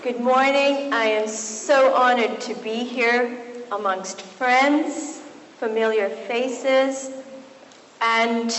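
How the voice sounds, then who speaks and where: A middle-aged woman from Kenya, a physician and author, giving a public talk with deliberate, emphatic pauses. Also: a little high. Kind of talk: public talk at a book event. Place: large resonant university hall. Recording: echoey hall, some background noise.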